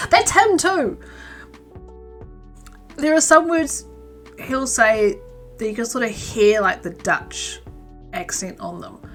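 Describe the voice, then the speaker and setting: A woman's voice: mid-range voice, a bit bright. A woman from New Zealand hosting a crochet livestream, chatting casually and rambling, sometimes muttering stitch counts under her breath. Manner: chatty, rambling, reads out and answers chat. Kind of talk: casual chat while crocheting.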